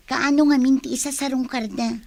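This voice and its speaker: A woman's voice: high voice, fairly even intonation, fairly quick, no echo. A woman playing an affectionate, respectful young daughter in a family audio drama.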